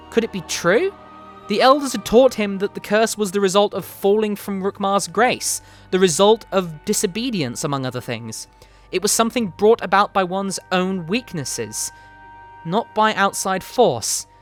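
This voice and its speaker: A man reading a fantasy story aloud as narrator, with dramatic, deliberate phrasing. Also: sits high, fairly bright tone. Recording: music behind the voice. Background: background music.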